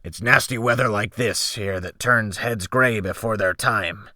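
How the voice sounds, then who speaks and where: A man performs a gruff, excitable old seaman from an audiobook tale. He bellows in a bright, hard-edged voice, full of scorn and bluster. His talk comes in salty, snorted exclamations, recorded close and dry. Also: fairly low pitch, a little slow, dry recording. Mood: grimly relishing. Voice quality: loud, bellowing. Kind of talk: dramatic audiobook character acting.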